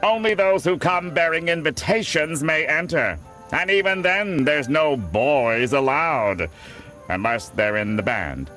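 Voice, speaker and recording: A man's voice: fairly high pitch, animated, measured pace, fairly bright tone, soft background music. A man voicing a pompous, sour middle-aged squid in a cartoon game, nasal, haughty and theatrical. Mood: haughty, disdainful. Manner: haughty, theatrical, mock-archaic. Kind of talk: animated cartoon game voice acting.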